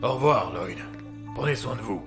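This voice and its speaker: A man acts out a jaded, sardonic doctor for an audio drama. His low voice is gravelly and forceful, full of crude slang. The sound is roomy. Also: animated, moderate pace, over music. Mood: weary, blunt. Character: bitter, worn-out, foul-mouthed male doctor with superpowers. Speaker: voice actor.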